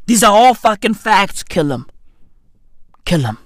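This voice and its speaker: A man, doing a comedic rant full of slang and sudden shouts. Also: high voice, very animated, measured pace, slight background noise. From South Africa. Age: 30s. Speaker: comedy podcast host.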